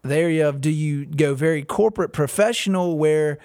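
A man's voice: fairly high pitch, moderate pace, darkish tone, no room sound, clean studio sound. A man in his thirties, hosting a casual podcast chat with jokes and many restarts. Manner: joking, talks through ideas with restarts. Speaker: podcast host.

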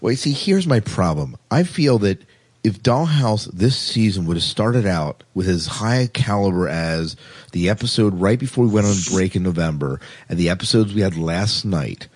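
A man with a very low, rough-edged voice chats casually as a podcast co-host. He is enthusiastic and repeats words for emphasis. The sound is close and dry, with little room on it. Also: lively intonation, normal speed, darkish tone, no echo. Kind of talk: casual chat about sci-fi TV.

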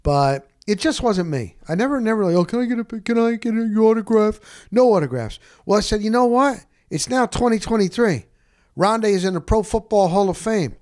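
A middle-aged man telling a personal story casually as a broadcast host, acting out the conversations he recounts in an animated way. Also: high voice, fairly quick, no room sound, studio quality.

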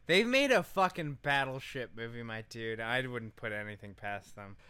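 A man's voice: mid-range voice, animated, moderate pace, pushed and bright, clear, resonant tone, no room sound, clean studio sound. A man co-hosting a podcast in casual comedic chat, swearing freely and giving blunt opinions. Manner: profane, opinionated. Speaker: podcast co-host.

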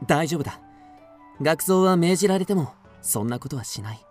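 A young samurai, acted by a man in a period radio drama, honorable and gentle. He speaks in formal, old-fashioned courtesies with steady resolve, over faint music. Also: on the high side, normal speed, close to an everyday voice. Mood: resolute, tender. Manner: formal, archaic, courteous speech.

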